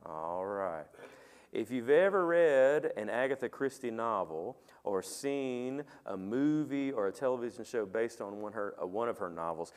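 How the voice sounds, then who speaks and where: A middle-aged man preaching a sermon as pastor in a church sanctuary on a headset mic, talking with the congregation and asking them questions, and praying quietly. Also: mid-range voice, expressive, a little slow. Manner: conversational, asks the congregation questions; soft, quiet when praying. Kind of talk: sermon with opening prayer. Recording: long reverb of a church hall.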